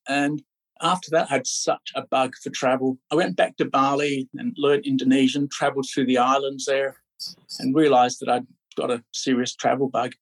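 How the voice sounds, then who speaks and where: A middle-aged man born in Scotland and raised in Australia, a podcast guest chatting in a relaxed, rambling way with many fillers. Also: mid-range voice, creaky, poor audio. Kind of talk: casual interview chat.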